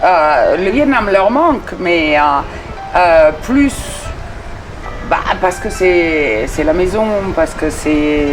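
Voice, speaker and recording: A woman's voice: fairly low pitch, animated, slow, music in the background. A middle-aged woman being interviewed, talking conversationally with many hesitations and restarts. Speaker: interview guest.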